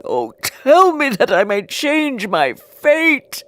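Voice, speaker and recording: A woman voicing an emotional old miser in a storybook, repentant and excitable, with emphatic exclamations. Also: high voice, takes it slowly, voice pushed up, full character voice. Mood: desperate pleading. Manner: exclamatory, emphatic pleading and rejoicing. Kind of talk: storybook character voice.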